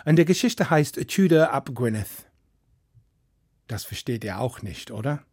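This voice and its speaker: A man's voice: medium pitch, animated, unhurried, a little mellow, husky, no echo. A man telling a folk story in to a live audience, chatty and playful with asides. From Wales. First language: English. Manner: chatty, playful asides, non-native grammar.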